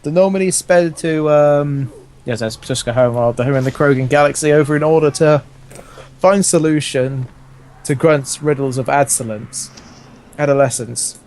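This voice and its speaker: A man's voice: fairly high pitch, moderate pace, a little mellow, a little hiss or hum. A man reading fan fiction aloud, mixing narration with casual, joking banter. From England.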